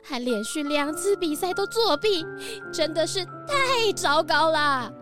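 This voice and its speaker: A woman's voice: high-pitched, expressive, measured pace, music in the background. A woman voicing a strict, exasperated antelope who scolds in a children's audio drama. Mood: disappointed. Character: scolding antelope sports official, strict and disapproving.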